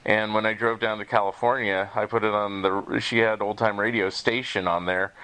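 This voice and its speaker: A man's voice: fairly low pitch, level tone, normal speed, sharp tone, poor audio. A man hosting a podcast interview in casual, conversational talk, given to chuckling as he speaks.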